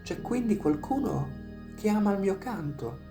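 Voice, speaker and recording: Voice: medium pitch, animated, moderate pace, music in the background, pitched above the performer's own voice, close to an everyday voice. A narrator voicing a small, sad young male crow in a storybook tale, earnest and melancholy. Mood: wistful.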